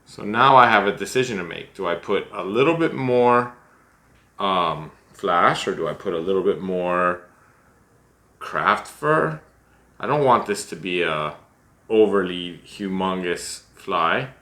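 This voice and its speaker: A middle-aged man with a very low voice that still has a bright, forward edge. He walks through a fly-tying demonstration in a small room, chatting easily, thinking aloud about each choice and poking fun at himself. The sound comes from a camera at desk distance. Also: expressive, measured pace. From United States. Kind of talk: relaxed step-by-step tutorial.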